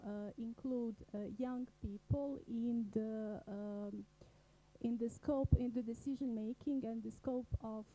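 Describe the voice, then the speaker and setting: A woman introducing herself as a program coordinator at a forum, speaking haltingly with many fillers. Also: fairly high pitch, mellow, rough recording. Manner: many filler pauses, laughs at the end. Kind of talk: hesitant self-introduction at a forum.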